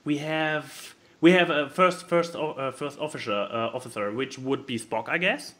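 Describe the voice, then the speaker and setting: A man who is not a native English speaker, chatting casually as a podcast co-host and haltingly searching for words as he explains things. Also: fairly high pitch, a bit bright. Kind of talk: casual chat explaining a board game.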